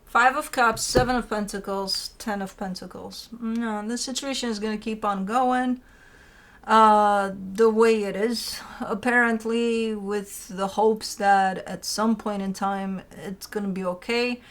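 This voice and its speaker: A woman's voice: medium pitch, fairly even intonation, unhurried, a bit bright. Woman giving an informal, emphatic tarot reading. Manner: conversational, emphatic, repeats phrases and asks rhetorical questions. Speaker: tarot reader hosting her own show.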